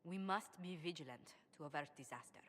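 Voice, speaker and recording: A woman playing a poised diplomatic advisor in a fantasy game, speaking in a measured, courtly and earnest manner. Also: low voice, a bit bright. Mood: grave, urgent. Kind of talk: video game dialogue.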